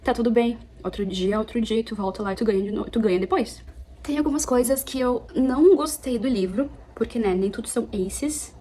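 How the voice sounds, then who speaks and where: A young woman from Brazil, chatting casually at home as she reviews a book, animated and often correcting herself. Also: a little high, brisk, breathy edge. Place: apartment at home.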